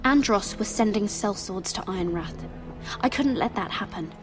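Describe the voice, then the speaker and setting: A woman's voice: medium pitch, normal speed, music in the background, lightly acted. A woman voicing a composed young handmaiden. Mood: worried. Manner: calm, firm, persuasive. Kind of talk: video game voice acting.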